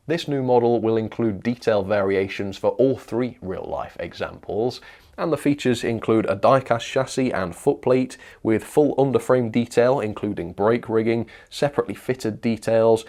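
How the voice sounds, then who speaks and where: Low-pitched man presenting hobby news. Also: normal speed, darkish tone. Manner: enthusiastic, chatty, opinionated. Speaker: presenter of a model railway news show. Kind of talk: informal product news review to camera or as voice-over.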